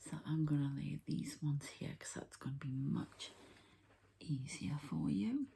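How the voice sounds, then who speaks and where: Slow, whispering woman with creaky low voice. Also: expressive, background noise. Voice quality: whispery, breathy soft voice. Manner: unhurried, halting, thinking aloud while handling objects. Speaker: host, energy healer and card reader. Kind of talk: ASMR, softly spoken ritual healing session.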